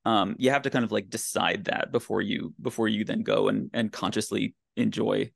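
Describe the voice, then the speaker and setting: A man speaking as a podcast guest, giving casual conversational commentary and pausing mid-sentence to think aloud. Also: fairly low pitch, steady tone, creaky, dry recording, studio quality.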